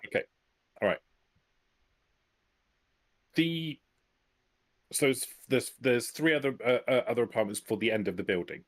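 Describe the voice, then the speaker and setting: A man playing a tabletop roleplaying game, casually and haltingly asking methodical questions and stating actions. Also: medium pitch, lively intonation, no echo.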